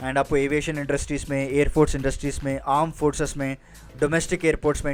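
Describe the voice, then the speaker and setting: A man giving an informal explainer lecture as a career guidance presenter, conversational, often checking in with listeners and mixing in English phrases. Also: on the high side. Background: music.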